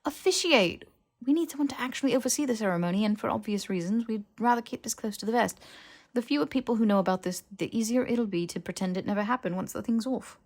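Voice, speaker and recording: A woman's voice: a little high, fast, voice pushed up. A woman plays a young wizard lad in an audiobook story. He blurts things out, protests and stumbles over his words, with a flippant, defensive edge. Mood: eager. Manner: stammering, protesting. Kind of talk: fiction audiobook character voice.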